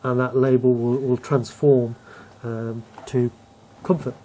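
A man in his thirties, a shop owner, calmly explaining his store during an on-site interview, with many fillers. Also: mid-range voice, slow pace, rough recording. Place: shop and community hall, indoors.

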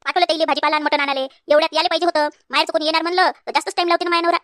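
A woman's voice: high voice, fairly even intonation, rapid-fire, fairly bright tone, no echo, clean studio sound. A woman voicing a bossy married daughter in a comedy cartoon, scolding in rural Varhadi Marathi from Vidarbha. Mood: chatty. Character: bossy married daughter, fussing over her parents, quick to scold.

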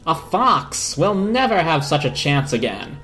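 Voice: medium pitch, very animated, measured pace, a bit bright, soft background music. A narrator voicing an eager, chattering, jokey radio in a storybook. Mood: excited.

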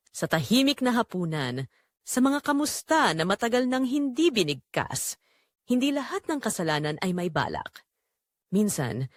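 A woman's voice: lowish voice, dry recording. A woman acting as a Filipina wife and mother bares her guilty secret in a confessional audio drama. Her intonation rises and falls freely in an intimate, dramatic delivery. The sound is dry and close, with a Filipino accent. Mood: confessional, pleading. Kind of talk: first-person confessional audio drama narration in Tagalog.

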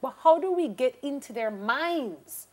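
A woman's voice: sits high, animated, a little slow. A woman in her thirties with a Jamaican accent, an emphatic and probing interviewer hosting a studio talk show. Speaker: television morning show host. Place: television studio. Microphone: lapel.

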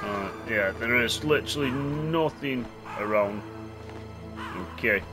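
A man's voice: medium pitch, slow. A man hosting a gaming channel, chatting and exclaiming as he comments on his gameplay. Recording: game music behind voice.

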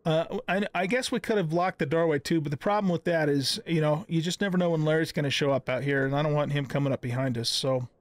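A man's voice: on the high side, steady tone, fast, hardly any pauses, no echo. A middle-aged man giving casual gameplay commentary, thinking aloud in a conversational way. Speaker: gaming video host.

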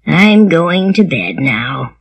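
A woman voicing a quiet, shy, kindly farmer in a storybook, speaking gently and hesitantly. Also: low-pitched, sing-song, exaggerated voice. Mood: resigned. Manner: gentle, hesitant, slow-spoken. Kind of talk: storybook character voice.

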